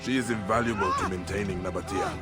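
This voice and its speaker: A man's voice: low-pitched, fairly even intonation, quick pace, loud music behind the voice, lightly acted. An actor playing an earnest, resolute male warrior leader in ancient Egypt, in a dramatic video game style. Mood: grieving, solemn.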